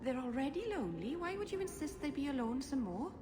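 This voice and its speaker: A woman's voice: high voice, big pitch swings, quick pace. An actress playing a sweet, scatterbrained young woman in video game banter, sounding innocent and puzzled. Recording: clear background noise.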